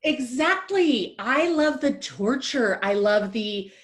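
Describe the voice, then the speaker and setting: A woman's voice: a little high, expressive, slow pace. A woman playing a gushing, theatrical playwright. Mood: flustered. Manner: dramatic, gushing, rambling. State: panicked shouting near tears. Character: anxious, theatrical female playwright-director.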